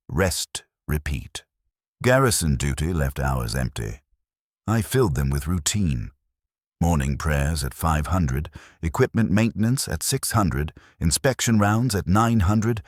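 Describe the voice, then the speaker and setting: A man giving a solemn, measured, scripted first-person narration of a dramatic story. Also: deep voice, dry recording, clean studio sound.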